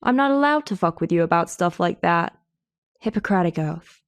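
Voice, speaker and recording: A woman voicing a sardonic young healer heroine in an audiobook, speaking in a solemn deadpan. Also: lowish voice, normal speed, dry recording. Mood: deadpan threat. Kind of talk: audiobook character acting.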